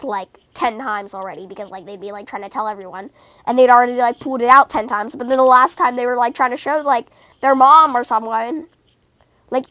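High-pitched, giggly young-sounding woman with a cold. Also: no echo. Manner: giggly, rambling, animated. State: scratchy voice from a cold. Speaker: podcast host. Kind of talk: casual chatty book-fan podcast talk.

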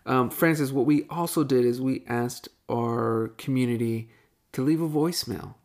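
A man co-hosts a casual podcast in a mid-pitched voice. He is chatty and enthusiastic, often breaking off to rephrase himself. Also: normal speed, darkish tone. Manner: chatty, self-correcting, enthusiastic. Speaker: podcast co-host.

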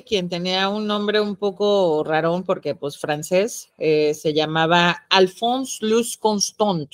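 A woman's voice: medium pitch, dry recording. Chatty Mexican woman, dry close sound. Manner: chatty and playful, with frequent laughs and slangy asides. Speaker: podcast co-host. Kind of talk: casual podcast chat about the occult.

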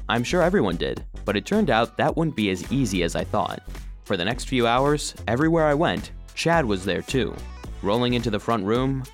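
A man playing a gloomy, self-deprecating robot vacuum cleaner, telling his story wryly as narration for a children's audio drama. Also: medium pitch. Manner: wry, storytelling.

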